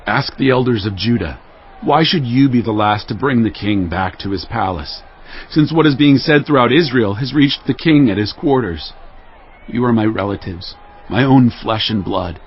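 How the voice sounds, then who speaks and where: A man's voice: medium pitch, expressive, normal speed, husky, muffled recording. An actor playing a stern, commanding king in a dramatized audio Bible, with regal oratory. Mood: earnest, solemn.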